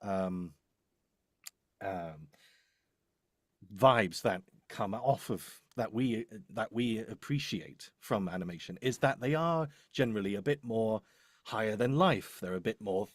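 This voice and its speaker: A man's voice: lowish voice, animated, unhurried, dry recording, studio quality. A man chatting casually and explaining his work, hesitant and rambling as he thinks aloud. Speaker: voice-over demo producer.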